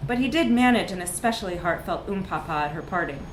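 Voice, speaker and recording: A woman reading a comic short story aloud in a live literary reading, with expressive, dramatic emphasis. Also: lowish voice, fast. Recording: echoey room with background noise.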